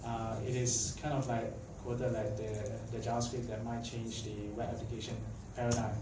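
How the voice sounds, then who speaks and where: A man's voice: mid-range voice, flat delivery, moderate pace. A young man from Malaysia giving a casual conference talk, chatty and full of fillers, often asking the audience questions. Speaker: tech conference speaker. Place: conference hall. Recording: echoey hall, mic at a distance, faint background noise.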